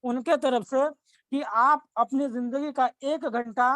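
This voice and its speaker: A man from Bihar opens an online meeting with a formal welcome. His voice sits high and sounds dry and close, with no room around it. He strings long, winding sentences together. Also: fairly even intonation, slow, a bit bright, no room sound. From Bihar, India. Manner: long winding sentences, effusive praise of the guest. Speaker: meeting host and promoter. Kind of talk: formal welcome speech on a video call. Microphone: video call microphone.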